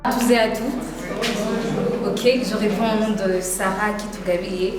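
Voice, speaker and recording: Teen girl, rhythmic emphatic slam delivery, mid-pitched voice, distant in a very echoey hall. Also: steady tone, a little slow, over loud music. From Burundi. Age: teens. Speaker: high school pupil performing slam. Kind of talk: slam poetry performance. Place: large hall. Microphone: far from the microphone. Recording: echoey hall from far off, background ambience.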